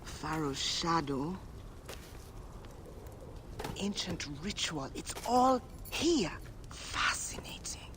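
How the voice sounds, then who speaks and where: A fussy scholarly antiquarian, a man with a high voice who talks slowly and carefully. His bookish lines sit over faint ambient noise in the game mix. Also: sing-song, exaggerated voice. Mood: fascinated. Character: scholarly gambler antiquarian, nervous and clever. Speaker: game character. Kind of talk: video game cutscene acting.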